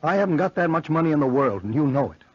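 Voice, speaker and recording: A man's voice: on the high side, sing-song, fast, husky, dry recording. An actor playing an earnest, anxious, lovesick young college man with strained melodrama in a 1940s radio horror play. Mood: desperate, bitter. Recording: muffled 1940s radio broadcast recording.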